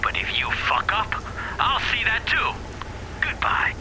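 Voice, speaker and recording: A man's voice: high voice, huge pitch swings, unhurried, noisy background, heavily acted. An actor playing a volatile, menacing male crime boss in a video game, taunting and swaggering as he gives foul-mouthed orders. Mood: mocking menace. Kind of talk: video game cutscene voice acting.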